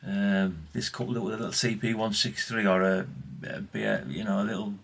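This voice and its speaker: A man's voice: on the low side, fairly even intonation, brisk, slightly rough. A man, chatting casually and ramblingly as he presents old radio gear in a vlog. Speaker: vlogger showing vintage CB radios. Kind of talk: casual, unscripted chat.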